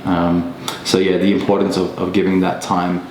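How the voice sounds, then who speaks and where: A man in a relaxed podcast conversation, talking in a thoughtful way with many ums and restarts. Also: moderate pace. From Australia. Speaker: podcast guest. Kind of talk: casual reflective conversation. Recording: echoey room with faint rumble.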